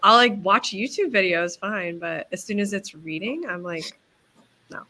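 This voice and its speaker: A woman's voice: medium pitch, expressive, normal speed, pushed and bright. A young woman chatting casually with friends in a book club call, rambling with lots of fillers and laughs. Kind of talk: casual group chat on a video call.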